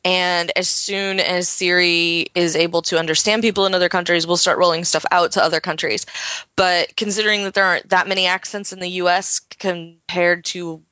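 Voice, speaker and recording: A woman's voice: lowish voice, sharp tone, clean tone, no echo. An American woman co-hosting a casual tech podcast, explaining her views at length. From United States. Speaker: podcast co-host.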